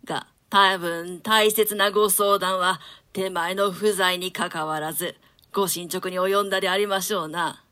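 Brief: 愛想よく腹黒い男の公爵、女性が演じる、低めで明るい声. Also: female voice, lowish voice, steady tone, normal speed, pushed and bright, voice pushed down. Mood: genial. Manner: courtly, smooth and falsely friendly. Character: scheming male duke, outwardly genial nobleman. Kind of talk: dramatic play reading.

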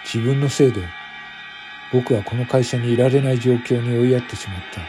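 A man's voice: medium pitch, steady tone, fairly quick, over music. A man giving scripted first-person narration for a radio drama in a brooding, solemn manner.

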